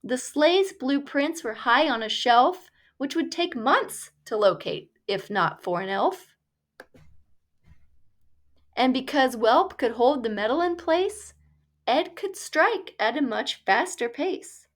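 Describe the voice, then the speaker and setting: Female storybook reader, higher-pitched, unhurried and deliberate, reading rhyming verse for children. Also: lively intonation.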